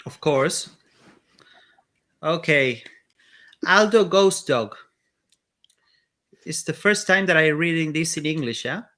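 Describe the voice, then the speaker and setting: A man's voice: a little high, animated, slow, long pauses, pushed and bright. A man with an Argentine accent, a children's author, introducing his reading with a slightly shy manner.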